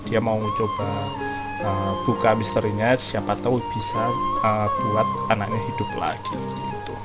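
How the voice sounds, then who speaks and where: A man's voice: on the low side, huge pitch swings, moderate pace. A young man with an Indonesian accent, rambling chattily as he recaps a game story for viewers. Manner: rambling, chatty, many fillers. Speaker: gaming streamer. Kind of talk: casual let's-play commentary. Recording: voice over loud game music.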